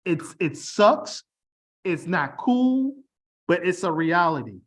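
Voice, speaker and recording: A man's voice: sits high, very animated, takes it slowly, fairly bright tone. A middle-aged man from Baltimore with a mostly standard American accent and a slight Baltimore tinge, giving an online information session in a direct, conversational way and often checking in with "okay?" and "all right?". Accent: General American, mild Baltimore. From Baltimore, United States. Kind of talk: online presentation. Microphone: video call.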